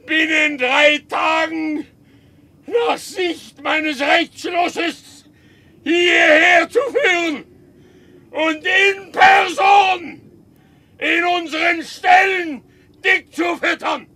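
An enraged rebel boss in a radio play, super high strained voice, barking orders, echoey room. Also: male voice, steady tone, drawn-out words, full character voice. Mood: wrathful, commanding. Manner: declamatory, commanding, shouted. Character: wronged horse dealer turned furious, vengeful rebel leader. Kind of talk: German radio play acting.

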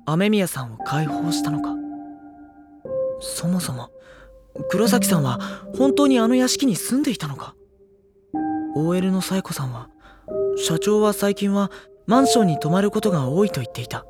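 An actor playing an earnest, brooding teenage schoolboy in a Japanese audio drama, questioning and narrating with dramatic pauses. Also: male voice, high-pitched, big pitch swings, loud music behind the voice.

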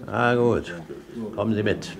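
An actor playing an older pirate captain, suave and commanding, speaking with courteous irony and quiet menace in a radio play. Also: male voice, fairly low pitch, measured pace, vocal fry. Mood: calm, courteous. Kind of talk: 1970s German radio play acting.

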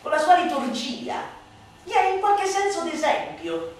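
A woman's voice: very high-pitched, sing-song. Echoey theatrical woman, mocking and declamatory. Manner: mocking, declamatory, swooping from hushed slow phrases to loud sing-song. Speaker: stage performer reciting a literary monologue. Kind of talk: theatrical recitation of a satirical literary text. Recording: very echoey room, distant mic, light noise.

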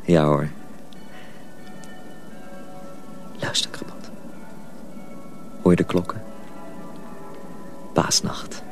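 Radio drama: a sorrowful, secretive senior mill hand, very low creaky voice, hushed and deliberate, faint music behind. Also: male voice, little pitch movement. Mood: solemn, hushed. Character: grave older journeyman mill hand, protective, sorrowful, secretive. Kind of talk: Dutch radio drama acting.

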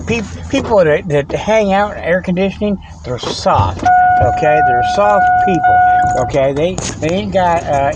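A man's voice: a little high, very noisy. A man hosting a comedy talk segment, rambling folksy and laughing often while he talks into a tablet in a parked car. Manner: folksy, laughs often, keeps saying "okay?". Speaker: host of a comedy podcast segment. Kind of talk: rambling casual monologue. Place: in a parked vehicle in a parking lot.

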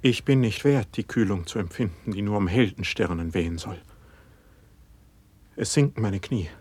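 Husky, fervent classical poet. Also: male voice, fairly low pitch, darkish tone, no echo. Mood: agitated, pleading. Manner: solemn, impassioned, measured declamation. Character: humble, fervent young male court poet, overwhelmed by honour. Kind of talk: classical verse drama, stage-trained declamation.

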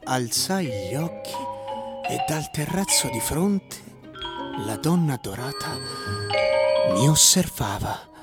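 A man's voice: medium pitch, big pitch swings, takes it slowly, long pauses, over loud music. A male actor playing a languid, ironic plant-shop owner who narrates his memories theatrically in a radio drama. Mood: wistful narrating. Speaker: narrator and lead character.

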